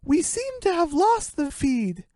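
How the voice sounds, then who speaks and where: Woman voicing a high, dramatic chicken news anchor. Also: big pitch swings, a little slow, mellow, no room sound, clean studio sound, heavily acted. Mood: alarmed. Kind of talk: mock radio news broadcast.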